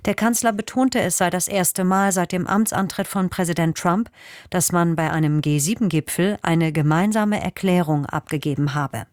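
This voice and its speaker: A woman reading the news on the radio in a measured, formal way. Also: fairly low pitch, darkish tone, no room sound, studio quality. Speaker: newsreader. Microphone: studio microphone.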